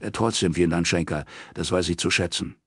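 A man's voice: sits low, brisk, husky, no echo, studio quality. A man voicing a terse, unflappable private detective in an audiobook, laconic and stubborn. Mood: dry, stubborn.

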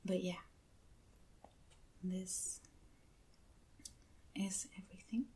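A woman who is not a native English speaker, softly half-whispering an ASMR unboxing in a gentle, hushed, breathy voice. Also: medium pitch, flat delivery, drawn-out words, slight background noise. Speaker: ASMR video creator. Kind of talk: soft-spoken ASMR unboxing.

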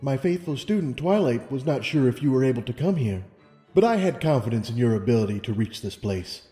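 A man voicing a gracious, benevolent female winged unicorn princess with measured authority. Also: medium pitch, expressive, warm tone, lightly acted. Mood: serene. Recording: long hall reverb over music.

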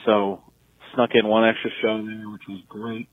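A muffled voicemail recording of a man calling in as a fan. His voice is lowish and fairly even in tone, and he speaks casually and appreciatively. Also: moderate pace, no echo. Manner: appreciative, steady. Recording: dull, dry voicemail phone recording.

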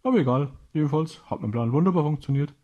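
A gloating, half-mad pirate villain acted out by a male storyteller in a children's tale. The voice jumps up high and theatrical, with a husky edge. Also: animated, fast, lo-fi sound. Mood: gloating, triumphant. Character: crazed, gloating male pirate usurper, the king's cousin.

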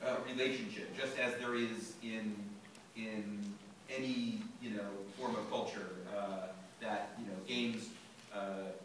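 A low-voiced man on a panel works through his answer slowly, full of fillers and restarts. He sounds distant, caught from the audience by a phone in a large, echoing lecture hall. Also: fairly even intonation, fairly bright tone, a little hiss or hum. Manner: thoughtful, many fillers and restarts. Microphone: phone recording from the audience. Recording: echoey hall from far off.

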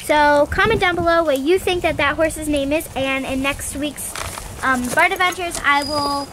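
A woman's voice: sits high, normal speed, a bit bright. A young woman hosting a vlog in casual, chatty talk, recorded outdoors on a camera. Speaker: vlog host. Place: outdoors in a farm paddock. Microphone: handheld camera at a short distance. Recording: noisy outdoor camcorder audio.

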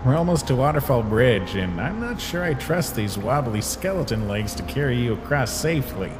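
A man voicing a weary, gentle skeleton monster in a comic dub, speaking in a halting, resigned way. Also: medium pitch, big pitch swings, background noise, close to an everyday voice. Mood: gentle, tired. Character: weary, guilt-ridden male skeleton monster, protective and gentle. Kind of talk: dramatic comic dub voice acting.

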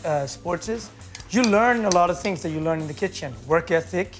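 Man, 40s, native Swedish speaker, guest chef, higher voice, fast eager talk, home kitchen, clip-on mic. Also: expressive, soft background music. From Sweden. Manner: eager, upbeat and quick-talking. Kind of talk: casual chat while cooking.

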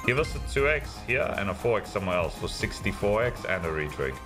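Young male host, deadpan monotone, very low voice, slot music under him. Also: a little fast. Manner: dry, monotone, sweary. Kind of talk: casual banter and slot commentary. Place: indoor streaming studio. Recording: slot game music behind.

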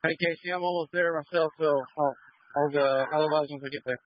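A man's voice: a little high, fairly even intonation, a little fast, no echo. A man, a police officer coordinating units informally over a police radio. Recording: muffled police scanner radio audio.

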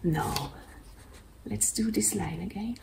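A woman whispering softly and breathily in an ASMR eye exam roleplay, gently giving instructions close to the microphone. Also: lowish voice, expressive, creaky, noisy background. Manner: slow, soft, gently instructing. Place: small indoor studio with dark backdrop.

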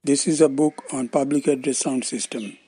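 An older man, around his seventies, with an Indian accent, giving scripted explanatory narration in formal, measured phrasing. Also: mid-range voice, fairly even intonation, mellow, breathy edge, no echo, faint music behind. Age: older, around 70s. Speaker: presenter of loudspeaker-making videos.

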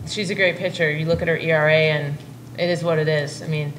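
Low-voiced woman coach at press table. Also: fairly even intonation, a little fast, slight background noise, low-quality recording. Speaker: head softball coach. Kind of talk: postgame press conference answers. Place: press conference room. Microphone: table mic.

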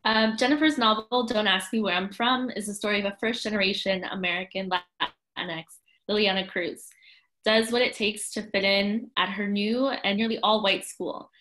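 A young woman moderator reads a book summary from notes over a video call. Her voice is bright and forward. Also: mid-range voice, normal speed, low-quality recording. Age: young adult. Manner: reading from notes. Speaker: student moderator.

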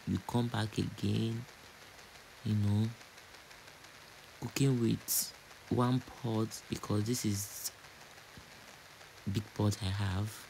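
A man's voice: lowish voice, vocal fry, darkish tone, slight background noise, rough recording. A man from Nigeria with a Nigerian accent, chatting casually and repeating phrases as a home cook narrating a cooking vlog.

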